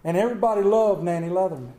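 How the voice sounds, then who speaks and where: A man preaching a Mother's Day sermon, folksy and storytelling, with dramatic slow pauses on quoted lines. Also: sits high, mellow. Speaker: pastor. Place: church sanctuary. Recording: echoey church room.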